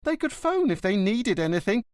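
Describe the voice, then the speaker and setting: A man's voice: sits high, big pitch swings, moderate pace, no room sound, studio quality, voice pushed up. A man voicing a frantic, gossipy, melodramatic old woman concierge in an audiobook. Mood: indignant. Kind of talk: audiobook character voice.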